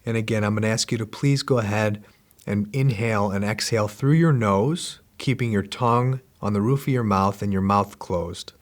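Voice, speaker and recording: A man with a lowish voice leads a guided meditation, talking in calm, unhurried instructions. He repeats each step gently and patiently, sounding middle-aged. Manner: slow, gentle, repetitive instructions. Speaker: meditation teacher.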